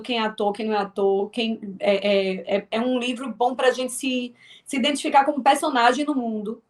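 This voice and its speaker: A woman's voice: a little high, a little mellow. A woman from Northeast Brazil with a strong Northeastern accent, a filmmaker and actress chatting as an interview guest, with many restarts. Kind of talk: casual interview talk.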